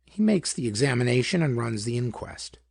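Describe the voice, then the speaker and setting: A folksy small-town lawman acted by a male narrator from a pulp audiobook. He is plain-spoken and worried, explaining things in slangy, colloquial phrases with a rough, creaky voice and lively pitch. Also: fairly quick, no room sound, studio quality. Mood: matter-of-fact.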